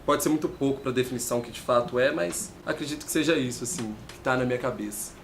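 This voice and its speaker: A man's voice: mid-range voice, fast talker, gravelly, noisy background. A man in his twenties, a musician and clown, giving casual, emphatic interview answers. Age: 20s.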